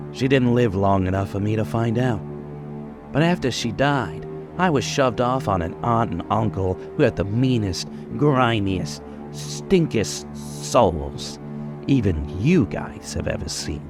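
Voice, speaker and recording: An actor in an old-time radio crime drama playing a cocky, bitter gunman with menacing, taunting, slangy tough talk. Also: on the low side. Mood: bitter, brooding. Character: cocky bitter gunman confessing his life story.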